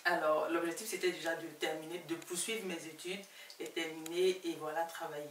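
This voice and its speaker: A woman's voice: deep voice, flat delivery, brisk. A woman with an Ivorian accent telling her own story casually as an interview guest, repeating phrases for emphasis. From Ivory Coast. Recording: roomy, distant mic, faint noise.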